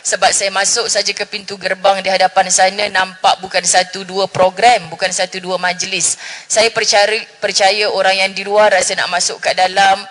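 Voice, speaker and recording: A woman's voice: mid-range voice, flat delivery, moderate pace, a bit bright. A middle-aged woman with a northern Malaysian Malay accent, giving a chatty religious forum talk and joking with the audience. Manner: chatty, jokes with the audience, Arabic phrases. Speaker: religious speaker. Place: mosque hall. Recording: long hall reverb.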